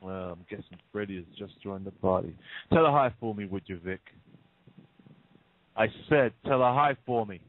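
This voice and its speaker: A man's voice: fairly low pitch, normal speed, close to an everyday voice. A man playing a smug, menacing bank robber in an audio play, speaking with taunting, mock-polite deliberation. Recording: muffled narrow phone-like sound.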